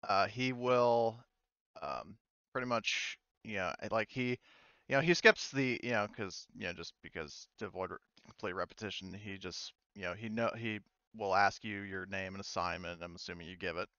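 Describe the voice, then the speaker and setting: A man narrates a tabletop game as game master, casual and rambling with lots of halting. His voice is low and smooth, recorded dry and slightly dull. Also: bright, forceful tone, no room sound.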